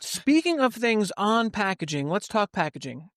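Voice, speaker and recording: A man's voice: high voice, big pitch swings, moderate pace, fairly bright tone, no echo, clean studio sound. A man co-hosting a podcast, telling rambling stories in casual chat. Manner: rambling anecdotes with fillers. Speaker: podcast co-host.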